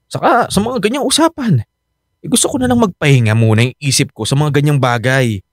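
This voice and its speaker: An actor playing a troubled, defensive young Filipino husband and son in a radio drama. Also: male voice, on the high side, lively intonation, brisk, no echo. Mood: weary, reluctant. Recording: studio drama with clear music bed.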